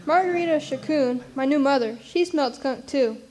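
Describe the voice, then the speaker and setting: A woman's voice: sits high, moderate pace, darkish tone, close to an everyday voice. A woman playing a plucky, streetwise orphan, telling the story in a folksy, wry way for a radio drama. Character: streetwise Brooklyn orphan child narrating with plucky frankness.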